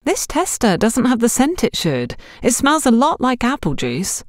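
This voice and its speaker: Woman acting earnest young trainee salesgirl, high-voiced. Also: wildly swooping pitch, moderate pace, no echo, studio quality. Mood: concerned. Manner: polite, eager to help.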